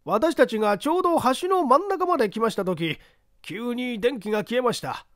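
Mystery audio story: respectful male witness played by a male reader, earnest formal answers, animated high pitch, dry recording. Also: normal speed, no echo. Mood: earnest, recounting. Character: deferential male construction supervisor testifying as witness. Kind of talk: dramatised mystery story reading.

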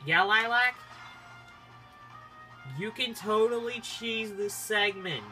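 Casual let's-play host, mid-pitched androgynous voice, rambling and self-interrupting, game music underneath. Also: slow, sharp tone. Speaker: gaming video host. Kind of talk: casual playthrough commentary. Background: video game music.

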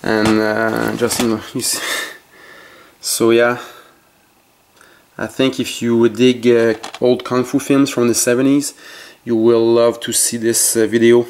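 A man with a French Canadian accent, his first language French, showing his collection in his home basement and talking haltingly with many fillers and self-corrections. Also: medium pitch, little pitch movement, darkish tone, slight background noise. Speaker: collector vlogger. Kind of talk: casual collection tour. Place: home basement full of shelves.

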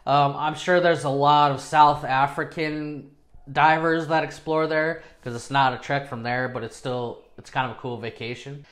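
A man's voice: a little high, steady tone, moderate pace, a bit bright. A man in his thirties presenting a video casually, with an informal, self-deprecating manner, recorded indoors in a small home studio.

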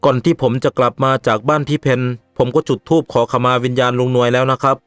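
A man voicing a respectful, sensible young village man in an animated ghost story, speaking politely and earnestly. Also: mid-range voice, quick pace, no room sound, soft background music, close to an everyday voice. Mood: questioning, respectful.

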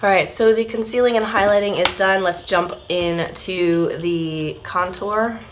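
A woman in her thirties, slightly hoarse, giving a casual beauty product review to camera at home. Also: mid-range voice, unhurried, faint music behind. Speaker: beauty vlogger. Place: small room at home, indoors.

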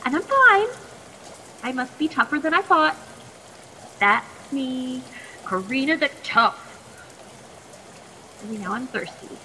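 A woman's voice: sits high, expressive, takes it slowly, pauses often, sharp tone, exaggerated voice. A woman playing a plucky, nervous, chatty teenage captive in a radio play, stammering politely in a reverberant recording. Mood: anxious, chatty. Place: video call performance. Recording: long echoing reverb, faint noise.

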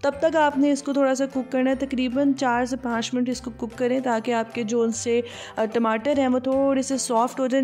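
A young woman, host of a cooking channel, giving steady step-by-step voice-over instructions for a recipe. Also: a little high. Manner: steady, explaining step by step with many fillers. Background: soft background music.

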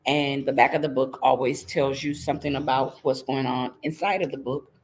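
A woman's voice: extremely low pitch, steady tone, normal speed, a little hiss or hum. A middle-aged woman reading a picture book aloud to young children, teacherly and interactive, checking in with her listeners. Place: indoors in front of a green screen.

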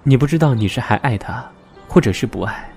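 A man's voice: mid-range voice, lively intonation, a little fast, a little mellow, close to an everyday voice. A man acting in an audio drama as a weary, conflicted young man, restrained and introspective. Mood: quiet, reflective. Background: background music.

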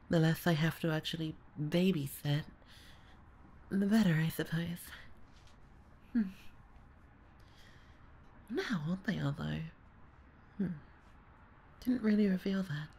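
A woman playing a young, lazy and easily flustered babysitter in an ASMR audio roleplay, with a breathy, hesitant delivery. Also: lowish voice, lively intonation, slow, pauses often, darkish tone, exaggerated voice. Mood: idle muttering. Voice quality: husky, breathy, often dropping to a near-whisper. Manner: hesitant, muttering, sighing, trailing off. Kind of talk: ASMR audio roleplay, solo character acting.

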